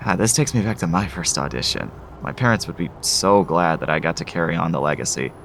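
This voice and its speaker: Man acting a nervous, doting low-voiced dad. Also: lively intonation, a little fast, vocal fry, a bit bright, a little hiss or hum, heavily acted. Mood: proud, emotional. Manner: fussing, gushing, eager. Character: nervous, doting, proud showbiz father. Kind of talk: audio drama acting.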